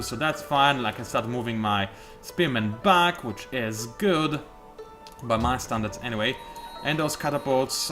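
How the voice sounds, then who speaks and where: A man's voice: mid-range voice, fairly bright tone. A man giving casual, joking commentary as he plays a strategy game, thinking aloud and poking fun at himself. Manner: chatty, joking and self-mocking, thinking aloud. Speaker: video game let's play host.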